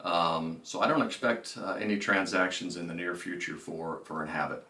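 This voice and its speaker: A man's voice: sits low, fairly even intonation, moderate pace, sharp tone. A man giving businesslike financial commentary as a presenter, stressing key phrases in an informal way. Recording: roomy echo, distant microphone.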